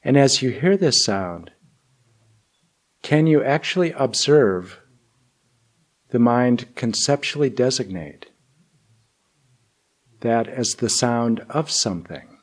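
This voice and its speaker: A man teaching and guiding meditation in deliberate, measured phrases with long pauses. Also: mid-range voice, lively intonation. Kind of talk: guided meditation and spoken teaching.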